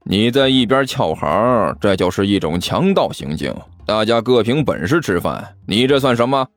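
A man voicing a brazen, stubborn, quick-witted hustler in an audiobook, arguing with sarcasm and sneers. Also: medium pitch, big pitch swings, no echo, soft background music. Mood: irritated.

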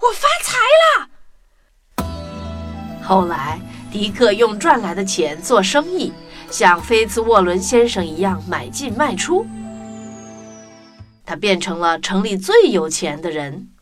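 Woman storyteller, lively swooping intonation, children's narration, music underneath. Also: mid-range voice, a little slow. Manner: animated, addresses young listeners. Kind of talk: expressive scripted storytelling for children.

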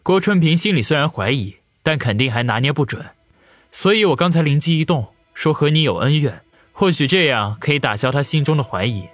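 A man voicing a calm, scheming younger gang leader in an audiobook, speaking with measured confidence. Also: on the high side, no echo, soft background music, pitched above the performer's own voice. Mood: calm, calculating. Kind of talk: audiobook character acting.

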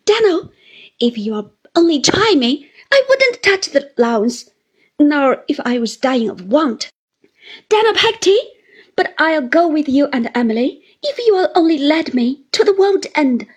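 A woman's voice: very animated, extremely slow, few pauses, darkish tone, full character voice. A woman doing a sobbing, clinging old woman. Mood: tearful pleading. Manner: high wailing pleas, breaking into sobs. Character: clinging, tearful older woman pleading desperately.

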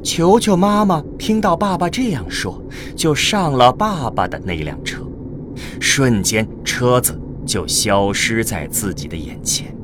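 A man's voice: mid-range voice, animated, measured pace. A man narrating a scripted radio drama as a storyteller. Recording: clearly noisy with ambient vehicle sound.

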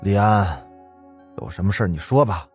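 Wealthy household master for ghost-story audio, played by a man: imperious, curt, impatient, lively pitch. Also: normal speed, faint music behind. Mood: dismissive. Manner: curt, scolding.

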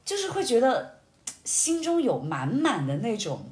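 A woman sharing experiences in casual conversation, explaining things in a reflective, expressive way. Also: a little high, a little slow. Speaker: podcast guest, amateur acting student.

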